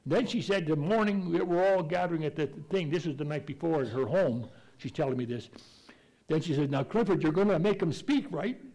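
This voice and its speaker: Folksy preacher with a slight stutter. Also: male voice, a little high, fairly quick, darkish tone, poor audio. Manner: conversational, folksy, occasional stutter. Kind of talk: sermon, Bible teaching.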